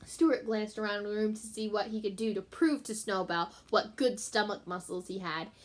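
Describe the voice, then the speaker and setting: A woman's voice: mid-range voice, steady tone, moderate pace. A woman teacher reading a children's storybook aloud, expressively, with chatty asides and simple explanations for her pupils.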